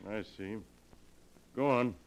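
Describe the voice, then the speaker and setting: An actor playing a blunt police inspector in a vintage radio drama, asking brisk, direct questions. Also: male voice, on the low side, a little hiss or hum. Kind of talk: 1940s radio acting.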